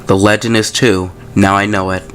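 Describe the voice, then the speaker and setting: A man's voice: on the low side, flat delivery, moderate pace, fairly bright tone, slight background noise. A man hosting a gaming video, casually commenting and reading story text aloud in an informal, slangy way.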